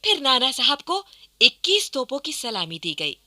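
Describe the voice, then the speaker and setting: Female radio drama narrator: very bright, expressive, wide-ranging pitch, formal scripted storytelling. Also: normal speed.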